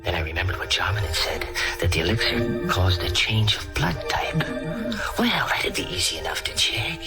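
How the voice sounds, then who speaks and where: An actor playing an obsessive, scheming murderer who narrates his own crime in a brooding, deliberate 1940s radio thriller style. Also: low voice, big pitch swings. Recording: old radio recording with loud music.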